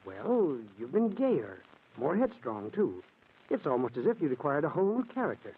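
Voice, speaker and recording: An actor playing a worried, devoted husband in a 1940s radio mystery, earnest and stammering. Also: male voice, a little high, huge pitch swings, moderate pace, slightly rough, exaggerated voice. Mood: exasperated. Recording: tinny old radio recording.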